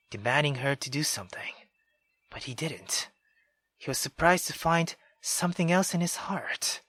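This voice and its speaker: A woman playing a visual novel, reading it aloud expressively and excitably, stumbling now and then. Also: extremely low pitch, husky, dry recording. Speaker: let's player.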